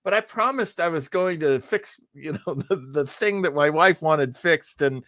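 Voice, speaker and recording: A man's voice: a little high, moderate pace, fairly bright tone, no room sound, clean studio sound. A man chatting casually as a co-host, reflective and informal, often searching for his words. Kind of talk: casual chat.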